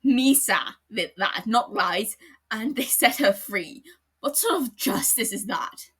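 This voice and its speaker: A woman voicing an earnest young male detective who is appalled and pleading, in a higher voice than her narration. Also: expressive, slow, breathy edge, full character voice. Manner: pleading, appalled, raised pitch. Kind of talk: podfic character reading.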